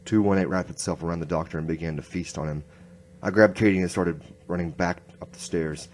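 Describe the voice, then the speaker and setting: A man plays a hard-boiled inspector narrating a noir radio drama. His voice is very low, and his delivery is terse and grim, over faint background noise. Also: steady tone, a little fast, a little mellow, close to an everyday voice. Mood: grim narration.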